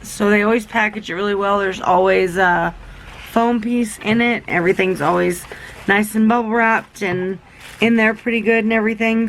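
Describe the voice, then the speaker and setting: A woman's voice: mid-range voice, fairly bright tone, slight background noise, low-quality recording. A young woman vlogger chatting through a beauty box unboxing, rambling and enthusiastic, a little sick and losing her voice. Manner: rambling, self-interrupting, enthusiastic.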